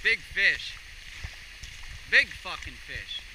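A man's voice: sits high, sing-song, drawn-out words, very noisy. A young man exclaiming casually as he fishes outdoors. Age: young adult. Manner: exclaiming, informal. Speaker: angler in a fishing vlog. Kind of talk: casual excited chat while fishing. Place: outdoors by a snowy creek. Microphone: action camera mic. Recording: loud music over outdoor camera audio.

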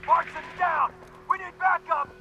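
A man's voice: very high-pitched, steady tone, a little slow, full character voice. A man playing a desperate, besieged soldier calling urgently for help in a war video game. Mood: panicked, desperate. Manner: urgent, panicked radio calling. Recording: loud gunfire and battle noise.

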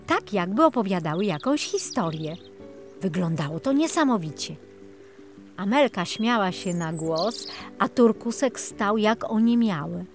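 A woman reading a children's audiobook story as a scripted narrator. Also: mid-range voice. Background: music.

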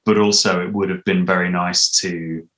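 Male interview guest, 30s. Very low pitch, conversational, feels his way through sentences. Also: fairly even intonation, normal speed, a bit bright. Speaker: interview guest, audio drama composer. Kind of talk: casual interview chat. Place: living room at home, on a video call.

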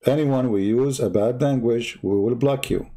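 A man's voice: medium pitch, moderate pace, dark tone. A man whose first language is Arabic, hosting a live stream, speaking in a combative, mocking way and repeating rhetorical questions. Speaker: online debate host. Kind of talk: heated live-stream commentary.

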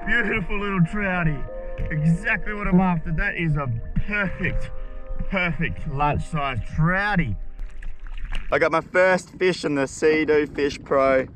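A young Australian man vlogging from a jet ski at sea, talking with excited, slangy enthusiasm straight to his viewers through an action camera held at arm's length. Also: a little high, unhurried, soft background music, rough recording. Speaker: spearfishing vlogger. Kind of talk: excited vlog talk to camera. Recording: wind and water noise outdoors.

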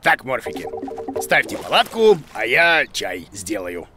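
A man's voice: medium pitch, huge pitch swings, unhurried, over loud music. A man voicing a grumpy, bumbling cartoon creature who plays a clueless teacher in a blustery, muttering way. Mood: self-important. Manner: blustery, hesitant muttering and exclamations. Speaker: main character of a children's educational cartoon. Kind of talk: animated cartoon acting.

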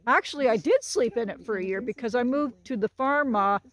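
A woman's voice: fairly high pitch, fairly quick, a bit bright. A woman in her sixties filming herself on a hilltop trail hike, chatting and joking with a laugh while she walks outdoors. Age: 60s. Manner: chatty, jokey, laughs often. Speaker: vlogger hiking with a group. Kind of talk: casual talk to camera. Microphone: phone held while walking.

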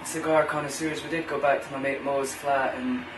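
A man's voice: medium pitch, flat delivery, moderate pace, background noise. A young man with an Aberdeen Scottish accent, co-hosting an easygoing cigar chat with cheeky banter. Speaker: co-host. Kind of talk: casual chat. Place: home bar room, indoors. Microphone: camera mic at table distance. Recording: roomy, distant camera mic, music.

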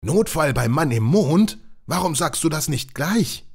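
A man voicing a sleepy, good-natured Sandman in a children's audio play, grumbling but helpful, with a husky voice. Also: on the high side, sing-song, measured pace, full character voice. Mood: calming.

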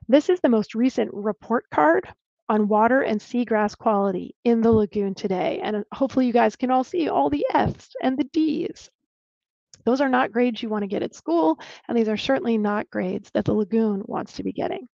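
A woman's voice: on the high side, darkish tone, no room sound. Woman archaeologist lecturing over a video call. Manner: clear explanatory lecturing with small restarts. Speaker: archaeologist giving a talk. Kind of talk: presenting a lecture with slides. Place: home room on a video call. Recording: dull, lo-fi dry video call audio.

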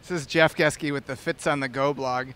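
A man's voice: mid-range voice, fairly even intonation, normal speed, a bit bright, clean tone. A man in his thirties hosting on-camera interviews at an event with a handheld microphone. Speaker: interviewer, blog host. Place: large convention hall.

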